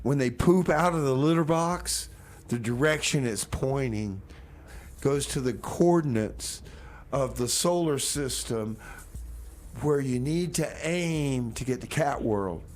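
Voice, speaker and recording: A man's voice: medium pitch, slow pace. A man hosting community radio, casually rambling and playfully bantering between songs as he announces what was played. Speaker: radio show host and DJ. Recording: radio studio with background noise.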